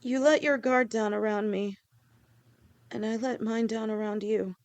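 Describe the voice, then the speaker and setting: A devoted young lamia woman with a snake's tail, played by a woman in an audio roleplay, speaking softly to the one she loves. Her voice sits a little high, and she takes her time, stammering now and then as the words come. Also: steady tone, smooth. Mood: heartfelt. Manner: soft, halting, stammering. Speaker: girlfriend in a listener roleplay.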